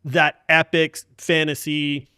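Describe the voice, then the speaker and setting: A man with a fairly high voice hosts a casual podcast. He talks enthusiastically and emphatically, addressing friends informally, with a dry, close sound. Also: level tone, slow pace, bright, forceful tone, clear, resonant tone, dry recording. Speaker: podcast host.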